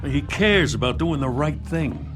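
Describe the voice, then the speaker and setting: An actor playing a steady, principled police officer in a video game, plain-spoken and businesslike. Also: male voice, fairly high pitch, unhurried, over music. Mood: firm.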